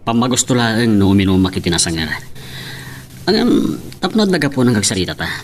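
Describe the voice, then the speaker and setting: A man's voice: on the low side, sing-song, brisk, slight background noise. A man playing a friendly young man in love in a radio drama, with a Filipino accent. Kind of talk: Ilocano radio romance drama acting.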